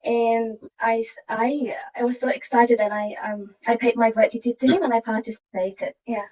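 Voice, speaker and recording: A woman from Iraq, earnestly sharing a personal testimony with halting restarts. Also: a little high, steady tone, darkish tone, recorded from a distance, rough recording. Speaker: program participant sharing her story.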